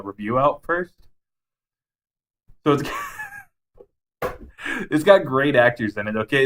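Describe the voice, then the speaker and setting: A man's voice: mid-range voice, a bit bright. Enthusiastic, rambling man chatting casually. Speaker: podcast co-host. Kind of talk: casual chat, ranking favorite game movies.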